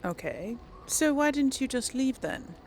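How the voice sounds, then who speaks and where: A woman's voice: sits high, sing-song, a little slow, slight background noise. A woman hosting a gaming video, giving casual commentary and lightly role-playing dialogue. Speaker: gamer, video host.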